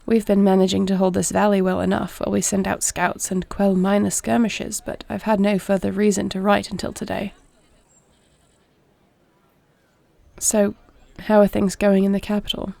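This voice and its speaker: A woman playing a duty-bound, caring warrior princess in an audio roleplay, speaking to the listener in a formal, measured, creaky voice. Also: medium pitch, little pitch movement, no echo, close to an everyday voice. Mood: composed, wry. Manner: formal, measured, soft-spoken speech.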